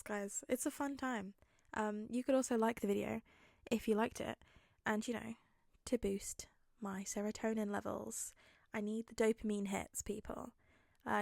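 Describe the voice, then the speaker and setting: A woman's voice: mid-range voice, gravelly, darkish tone, no echo, clean studio sound. A woman who reads fan fiction aloud and hosts her own channel. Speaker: podfic reader and channel host. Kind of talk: fan fiction narration and chatty outro.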